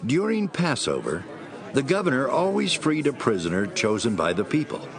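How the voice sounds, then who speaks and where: A man's voice: medium pitch, wildly swooping pitch, moderate pace, vocal fry, a crowd in the background. A man narrating scripture as a scripted dramatic reading.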